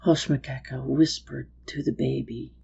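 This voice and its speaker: A woman's voice: extremely low pitch, flat delivery, normal speed, creaky, mellow, breathy edge, muffled recording. A woman storyteller giving a dramatic reading of a story.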